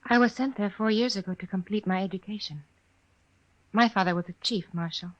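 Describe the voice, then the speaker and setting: Actress as dignified young frontier wife, muffled recording. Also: female voice, medium pitch, brisk, lightly acted. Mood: reserved, earnest. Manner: formal, careful, plain-spoken. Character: reserved, dignified young Kiowa wife, chief's daughter. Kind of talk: old-time radio western acting. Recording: muffled old radio recording.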